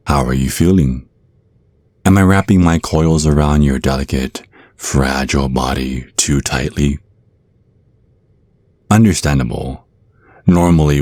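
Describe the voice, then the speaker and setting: A man's voice: rumbling low, darkish tone, dry recording, full character voice. A man voicing a menacing, centuries-old vampire naga in an ASMR roleplay, drawling mock-sweet threats. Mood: silky menace, then calculating.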